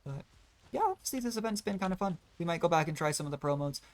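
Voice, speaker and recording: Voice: medium pitch, animated, quick pace. A game streamer rambling casually through gameplay commentary, thinking aloud in a self-deprecating way. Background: video game music.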